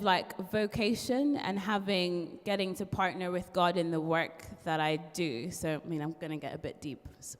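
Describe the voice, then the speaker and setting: A young woman with a mixed Irish accent, a part-qualified barrister, chatting as an interview guest with frequent ums and laughs. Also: fairly low pitch. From born in London, raised in Ireland. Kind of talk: casual interview chat. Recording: long hall reverb.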